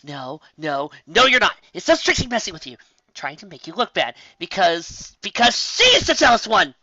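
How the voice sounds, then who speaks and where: A reader voicing an excitable, anxious young female unicorn sorceress who argues with herself in a shrill, frantic way, in a fan fiction reading. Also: moderate pace, no echo. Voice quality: shrill, frantic, self-arguing. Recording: dull, muffled home recording.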